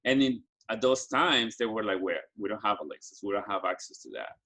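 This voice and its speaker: Earnest Ecuadorian-born man, halting delivery. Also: on the low side, pushed and bright. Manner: halting, frequent restarts and fillers, earnest. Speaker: business school program staff presenting study abroad. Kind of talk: presentation to students with slides.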